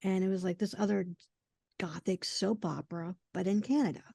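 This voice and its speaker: A woman in her forties, a podcast guest telling personal stories in a casual, animated way. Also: mid-range voice, brisk, gravelly, dry recording, studio quality. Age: 40s. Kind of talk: casual chat, personal storytelling.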